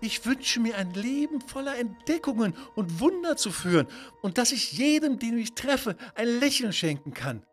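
A man voicing a curious, excited young girl astronaut in a children's audio story, earnest and wide-eyed. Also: very high voice, normal speed, hardly any pauses, faint music behind, pitched above the performer's own voice. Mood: hopeful, earnest.

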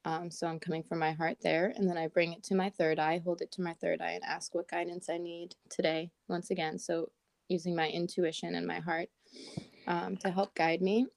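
A woman with a raspy morning voice chatting casually as a podcast co-host, talking with lots of "like" and "um". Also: on the low side, flat delivery, moderate pace, a little mellow, no echo. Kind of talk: casual chat. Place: at home in Portland.

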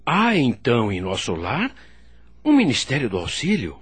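An actor with a Brazilian accent playing a curious, awed doctor newly arrived in the afterlife, speaking formally in a radio drama. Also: male voice, medium pitch, huge pitch swings, normal speed, a bit bright, breathy edge, dry recording, full character voice. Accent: Brazilian Portuguese. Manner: formal, wondering. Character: male doctor newly dead, curious, awed, narrating memories. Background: faint music.

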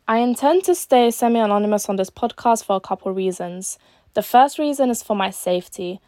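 A woman, hosting her own podcast and reading an earnest, measured script that trails off quietly at the ends of lines. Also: medium pitch, dry recording, clean studio sound. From born in Algeria, raised in East London. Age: late teens. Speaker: podcast host. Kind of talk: scripted solo podcast monologue.

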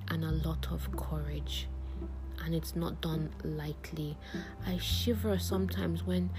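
Low-voiced woman host, soothing near-whisper, heavy music bed underneath. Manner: soft, soothing, half-whispered delivery. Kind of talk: reflective monologue. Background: loud music.

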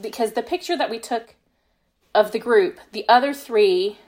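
A woman's voice: fairly high pitch, normal speed, a little mellow. A woman guest on a podcast, chatting casually in a self-deprecating way and often quoting exchanges as she tells stories. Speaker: podcast guest, fan fiction author. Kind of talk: casual conversational storytelling.

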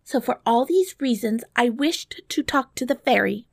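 Woman as a high-voiced, emotional young princess. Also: lively intonation, normal speed, creaky, dry recording, studio quality. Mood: sad, earnest. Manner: plaintive, exclamatory. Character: young proud princess, anxious, emotional, indignant. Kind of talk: fairy tale storybook reading.

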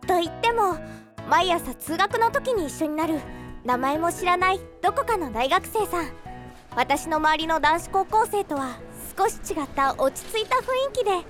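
Female actor as lovelorn teenage schoolgirl, earnest and flustered; very high, swooping voice over music. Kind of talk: radio drama acting with inner monologue.

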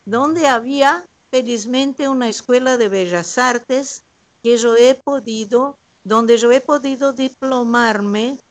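An older Brazilian woman whose first language is Portuguese speaks Spanish at an unhurried, deliberate pace, with a Brazilian accent and a Rioplatense lilt. Her voice sits a little high and is polite and measured. The audio is dry and close over a video call. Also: dry recording. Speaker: guest artist. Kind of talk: slow, careful personal account. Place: her home, remote link.

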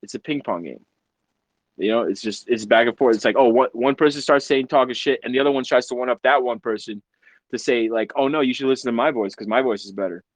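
A man's voice: mid-range voice, fast talker, no room sound. A young man talks loosely and at length, cursing freely and tripping over repeated words as he goes. His voice sits in an ordinary middle range. The sound is dry and close, with little room around it. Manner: rambling, profane, stumbles and repeats words. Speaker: podcast host. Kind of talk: casual group chat on a video call.

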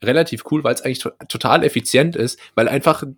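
A man's voice: medium pitch, quick pace, husky. Joking young man with Palatinate accent. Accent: Palatinate German. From Palatinate, Germany. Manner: loose, joking, trails off and restarts. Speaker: podcast co-host. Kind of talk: casual banter. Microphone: laptop setup.

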